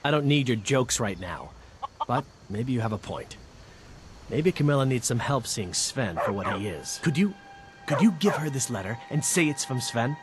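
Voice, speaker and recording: An actor playing a wry, disdainful wood elf mill worker in a fantasy video game. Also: male voice, medium pitch, sing-song, moderate pace, background noise, close to an everyday voice. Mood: scornful, jealous. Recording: music behind the voice.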